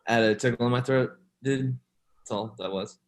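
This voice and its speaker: A man's voice: medium pitch, steady tone, normal speed, close to an everyday voice. A young man acting a laid-back, casual dude in a table read over a video call. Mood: teasing. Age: young adult.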